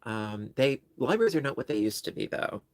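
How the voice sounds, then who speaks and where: Man, New York accent, self-deprecating rambling chat, dry close sound, gravelly. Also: medium pitch, very animated, brisk, no echo. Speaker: livestream host. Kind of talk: casual livestream chat.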